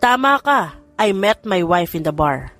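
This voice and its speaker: A woman's voice: fairly low pitch, sing-song, unhurried, fairly bright tone, faint music behind. A woman with a Filipino accent voicing a vain, cheeky adult son in an audio romance story, boastful and self-assured. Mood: explaining.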